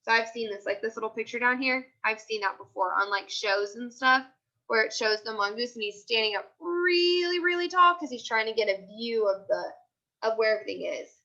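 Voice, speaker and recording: An American woman with a high, bright voice reads aloud as an elementary teacher. She explains things simply and repeats key points for young listeners. The recording is a little dull and lo-fi. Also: expressive, fairly quick. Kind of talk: teaching read-aloud of a picture book with questions and asides.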